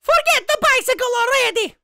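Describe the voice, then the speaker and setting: Someone voicing a shrill-pitched, bossy squid girl. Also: expressive, a little fast, pushed and bright, no room sound, studio quality, heavily acted. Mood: exasperated, urgent. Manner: scolding, emphatic. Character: bossy, exasperated girl squid kid sidekick. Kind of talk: comic manga fan dub. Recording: clearly noisy home recording.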